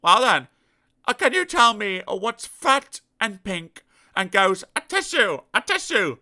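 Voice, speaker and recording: A man voicing a muddled, gentle little man who speaks in a raised, bright voice with hesitant fillers. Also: high-pitched, very animated, unhurried, voice pushed up, heavily acted. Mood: cheeky. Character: muddled gentle man, Mister Dizzy, growing sly.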